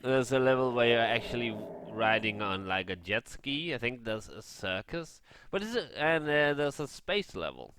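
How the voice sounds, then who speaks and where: A man gaming vlogger chatting casually and haltingly in a rambling review over gameplay. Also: mid-range voice, sing-song, a bit bright, a little hiss or hum.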